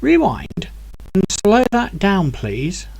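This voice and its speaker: Brief: man doing an impatient young hero, pitched up, lively, pushing questions. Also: slow pace, creaky, breathy edge, exaggerated voice. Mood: eager. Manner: raised, eager questioning.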